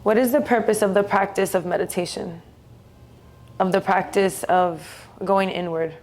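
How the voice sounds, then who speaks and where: A woman leading a guided meditation in a soothing, gentle, unhurried way, leaving long pauses between phrases. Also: medium pitch, steady tone.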